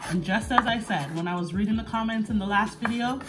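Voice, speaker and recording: A woman's voice: mid-range voice, fast, heavy background noise. A woman hosting a home cooking video, chatty, upbeat and teasing while she films in the kitchen. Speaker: host. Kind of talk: casual cooking show chat. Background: background music.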